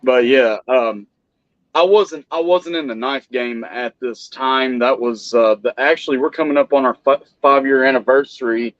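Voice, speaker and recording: A man's voice: mid-range voice, moderate pace, no echo, muffled recording. A man hosting a casual live stream, chatting loosely with many restarts about family and knives. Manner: relaxed, rambling with restarts.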